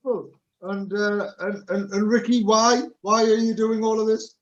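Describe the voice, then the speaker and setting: A man's voice: sits high, little pitch movement, a little slow. A male host asking a guest short, encouraging questions in a casual interview. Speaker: show host. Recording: muffled lo-fi recording.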